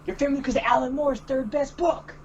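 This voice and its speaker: A man's voice: very high-pitched, steady tone, a little fast, lo-fi sound, slight background noise. A man co-hosting in casual, opinionated chat. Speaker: co-host.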